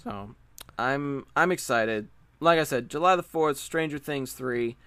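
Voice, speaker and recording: A man's voice: medium pitch, unhurried, fairly bright tone, clean tone, no room sound. A man co-hosting a podcast, talking casually about pop culture and repeating words for emphasis. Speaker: podcast co-host.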